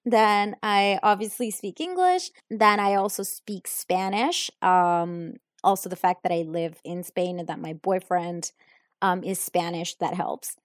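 A young woman speaks English slowly and deliberately, taking her time over each answer. She sounds thoughtful and a little hesitant, filling gaps with soft ums. Her voice is close and dry, with no room sound around it. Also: lowish voice, dry recording. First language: Italian. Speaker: English learner answering questions. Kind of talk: unscripted answers in an English lesson.

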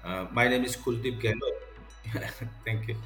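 A man's voice: mid-range voice, steady tone, a little slow, a bit bright, echoey room, faint music behind. A man from India with an Indian accent, talking casually as an interview guest. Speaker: podcast guest, software tester.

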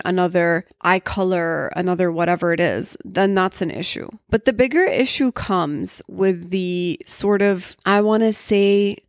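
A woman's voice: lowish voice, no echo, studio quality. A young woman with an American English accent, hosting a solo podcast and speaking frankly, switching between Arabic and English mid-sentence. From Saudi Arabia. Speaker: podcast host.

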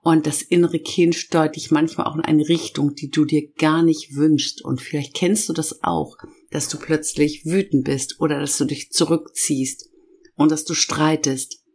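Hoarse woman with a cold hosting a podcast. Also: low voice, steady tone, darkish tone. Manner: slow, emphatic listing of feelings. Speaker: podcast host and inner-child coach. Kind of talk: warm guided podcast talk.

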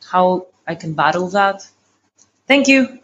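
A woman's voice: fairly low pitch, lively intonation, takes it slowly, fairly bright tone. Greek woman leaving a voice message, hesitant, lo-fi sound. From Greece. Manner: careful, hesitant, with restarts. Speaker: caller.

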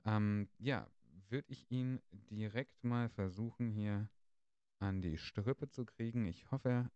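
A man hosting a casual podcast, relaxed and chatty with frequent fillers. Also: lowish voice, no echo, lo-fi sound. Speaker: podcast host.

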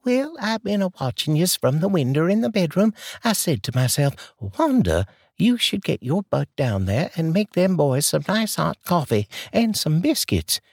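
A man's voice: on the high side, sing-song, normal speed, hardly any pauses, a little mellow, breathy edge, no room sound, clean studio sound, voice pushed up, full character voice. A man putting on a chatty Southern-drawling neighbor lady. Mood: folksy. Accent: put-on Southern drawl. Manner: folksy, talks your ear off. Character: chatty kooky neighbor wife in rollers.

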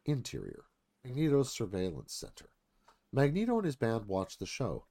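A man's voice: medium pitch, huge pitch swings, moderate pace, vocal fry, warm tone. A man narrating scene directions in a live screenplay reading.

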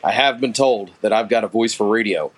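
A man's voice: fairly low pitch, a little fast. Folksy Philadelphia man leaving a voicemail. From Philadelphia area. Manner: folksy, self-deprecating. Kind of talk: recorded voice message.

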